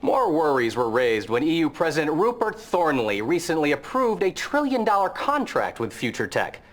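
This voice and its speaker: An actor playing a TV news anchor reading the news in a measured, formal way. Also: male voice, a little high, big pitch swings. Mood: neutral. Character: polished male TV news anchor.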